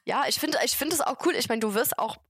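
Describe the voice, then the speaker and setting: A woman in her late teens from England, with English as her first language and a Hessian accent in her German, speaking as a relaxed, direct interview guest. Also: high-pitched, quick pace, husky, no echo, clean studio sound. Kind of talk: casual chat.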